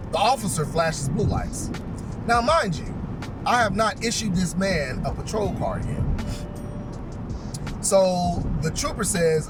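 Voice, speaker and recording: Higher-voiced man telling a story, distant mic. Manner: conversational, repeats phrases for emphasis. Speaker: police chief telling stories. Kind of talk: casual storytelling to viewers. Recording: distant mic, music playing behind. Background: clearly audible music.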